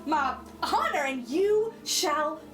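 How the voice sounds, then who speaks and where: High, swooping feisty young girl acted by a woman. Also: lively intonation, slow, a bit bright, exaggerated voice. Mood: theatrically vengeful. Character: feisty, melodramatic young sister in a struggling 1940s family. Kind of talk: holiday radio play acting. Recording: echoey room, distant mic, music behind.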